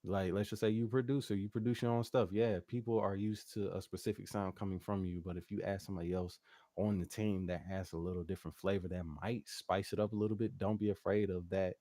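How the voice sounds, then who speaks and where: Very deep-voiced man, slangy guest artist. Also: steady tone, fast, warm tone, no room sound, studio quality. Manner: slangy, rambling, frequent "you know what I'm saying". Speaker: guest recording artist and music producer. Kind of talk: casual interview talk.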